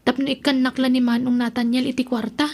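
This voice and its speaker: A woman's voice: high voice, little pitch movement, quick pace, a little mellow. A woman acting as an honest, principled young daughter in an Ilocano radio drama, earnest and pleading, with an Ilocano accent. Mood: reproachful. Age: young adult.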